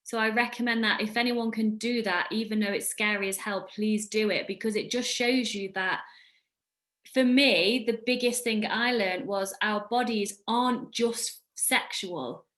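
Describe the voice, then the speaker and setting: A woman's voice: mid-range voice, steady tone, a little slow, fairly bright tone. Earnest woman hosting a podcast. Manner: earnest, reflective. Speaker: podcast host. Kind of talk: casual conversation.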